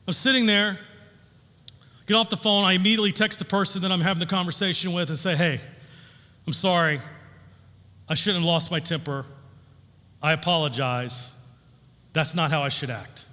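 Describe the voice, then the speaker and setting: A middle-aged man delivers an informal sermon from a church stage. His voice sits high for a man, with a bright, forceful edge. He speaks candidly in a folksy storytelling style, and a long reverb trails his words through the hall. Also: fairly even intonation, normal speed, long pauses. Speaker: pastor. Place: large church auditorium, on stage.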